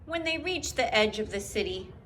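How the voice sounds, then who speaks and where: Bright, animated woman reading a storybook. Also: fairly high pitch, a little hiss or hum. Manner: deliberate, storyteller phrasing for young listeners. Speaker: teacher reading a children's book aloud. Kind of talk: expressive children's read-aloud narration.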